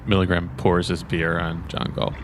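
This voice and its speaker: A deep-voiced man plays a tabletop game. He describes his character's actions in casual, improvised phrases. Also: monotone, normal speed, background noise.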